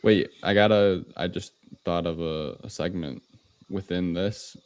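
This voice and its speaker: Low-voiced man chatting about films. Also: a little slow, darkish tone. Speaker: podcast co-host. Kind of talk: casual film discussion.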